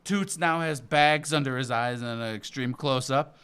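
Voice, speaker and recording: A man's voice: medium pitch, normal speed, a bit bright, smooth. A man narrating a cartoon script aloud at a comedy table read, loosely and informally.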